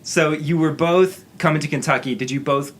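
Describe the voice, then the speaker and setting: A woman conducting a casual interview, enthusiastic and quick to laugh. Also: deep voice.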